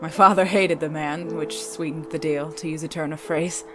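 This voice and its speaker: Low-voiced, cool, sardonic spy, acted by a woman. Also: fairly even intonation, over music, close to an everyday voice. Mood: guarded, confessional. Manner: formal, measured, wry. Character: cool, sardonic spy living as a man, secretive, teasing. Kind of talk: noir audio drama acting.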